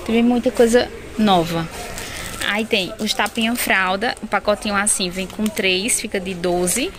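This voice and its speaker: A woman's voice: medium pitch, noisy background. Chatty Brazilian shopkeeper woman. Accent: Pernambuco Portuguese. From Northeast Brazil. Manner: chatty, lists prices quickly, addresses viewers as "gente". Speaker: wholesale shop owner. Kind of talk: casual product walkthrough. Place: indoor baby clothing shop. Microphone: phone camera held while filming.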